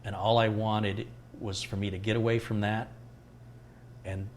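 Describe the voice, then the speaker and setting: A middle-aged man hosting a live tech stream, answering viewer questions in a calm, unhurried, reflective way. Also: on the low side, slight background noise. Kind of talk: casual live Q&A chat.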